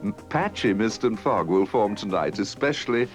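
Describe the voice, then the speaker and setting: A low-voiced man reads a radio weather forecast with a lively, swooping delivery. Now and then he trips over a line and corrects himself. Music sounds behind him. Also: moderate pace. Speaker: newsreader. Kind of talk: radio news and weather bulletin. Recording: archive radio broadcast with music.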